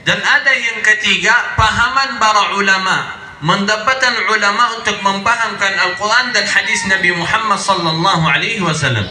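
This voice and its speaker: A man's voice: high-pitched, moderate pace, sharp tone, reverberant, a little hiss or hum. A man preaching to a live congregation with an Arabic accent, slightly stuffy from a cold, often asking the crowd to answer back. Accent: Arabic-accented Indonesian. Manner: call-and-response with the crowd, emphatic. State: has a cold. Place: outdoor religious gathering on a street.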